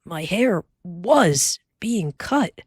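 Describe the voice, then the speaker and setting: Woman voicing a pioneer father, steady, teasing, earnest, slow. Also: slightly rough, dry recording, studio quality, heavily acted. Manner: low, plain, earnest.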